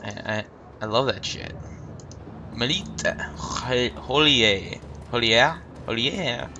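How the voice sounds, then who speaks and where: A man's voice: medium pitch, animated, slow pace, bright, forceful tone, highs cut off. A man with a stuffed-up nose hosting a let's play, talking in a rambling, hesitant casual way. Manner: rambling, hesitant, many ums. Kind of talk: casual game commentary. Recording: game music behind.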